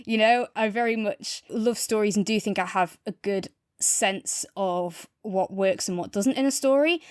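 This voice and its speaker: A woman's voice: mid-range voice, a little slow, clean tone, dry recording, studio quality. A woman co-hosting a podcast, chatting casually and enthusiastically as she reviews. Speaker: podcast co-host reviewing audio dramas.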